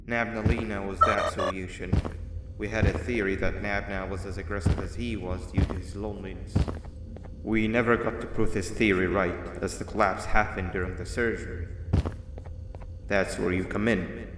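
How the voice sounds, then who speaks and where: A man playing a calm, matter-of-fact guide in a game, giving instructions in a measured way. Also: low voice, recorded from a distance, natural voice. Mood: measured, instructive. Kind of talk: scripted game character dialogue. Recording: echoey hall reverb, loud noise behind.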